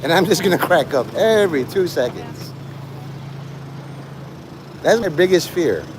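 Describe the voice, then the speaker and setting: Rambling man, clear traffic noise behind him. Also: on the high side, very animated, a little slow. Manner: digressive, profane, blunt. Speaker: live streamer. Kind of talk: rambling casual monologue to listeners.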